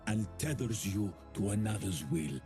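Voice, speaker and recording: An actor playing a stern, defiant warrior from a video game, speaking in a grave, declamatory way. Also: male voice, lowish voice, lively intonation, normal speed, music in the background, close to an everyday voice. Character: defiant, stern warrior man, rallying against gods. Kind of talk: video game character acting.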